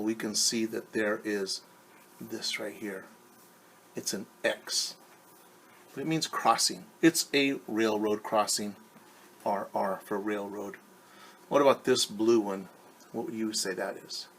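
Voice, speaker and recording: A man reading a picture book aloud to young children as their teacher, patiently asking them questions and pausing for answers. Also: mid-range voice, fairly bright tone, rough recording. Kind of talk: children's storytime read-aloud.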